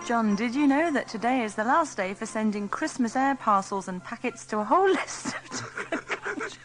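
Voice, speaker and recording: A woman's voice: on the high side, lively intonation, moderate pace, low-quality recording. A woman presenting on radio, reading a chatty scripted cue to a co-presenter. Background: jingly music.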